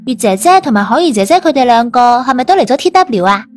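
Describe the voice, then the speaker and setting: An actress playing a possessive, sharp-tongued young girlfriend in an audio drama, demanding and indignant. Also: high-pitched, big pitch swings, quick pace, faint music behind. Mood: insistent. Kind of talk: Cantonese audio drama acting.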